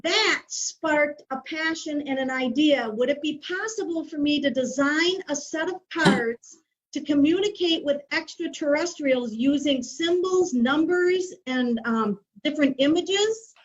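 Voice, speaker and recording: An eager woman with a high voice asks her questions in a conversational, slightly hesitant way. The sound is dull and lo-fi, as if the recording lost its top end. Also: measured pace. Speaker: audience questioner.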